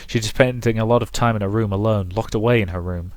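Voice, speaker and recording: Low-voiced man reading a story aloud. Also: fairly even intonation, quick pace, no room sound, studio quality. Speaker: let's player reading a visual novel aloud. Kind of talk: dramatic storybook-style narration with commentary.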